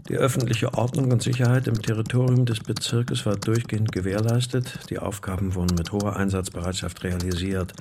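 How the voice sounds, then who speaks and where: An actor playing an officious, pedantic civil servant in a radio drama, speaking in stiff officialese. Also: male voice, on the low side, flat delivery, brisk, few pauses, background noise, natural voice. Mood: formal. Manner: stiff officialese, reciting regulations. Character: officious, pedantic male civil servant, self-appointed guardian of order.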